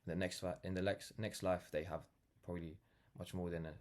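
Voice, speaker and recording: Very deep-voiced young London man. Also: flat delivery, a little fast, a little mellow. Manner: rambling, earnest, peppered with "like" and "you know". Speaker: vlogger, brand founder. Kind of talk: casual reflective monologue to camera.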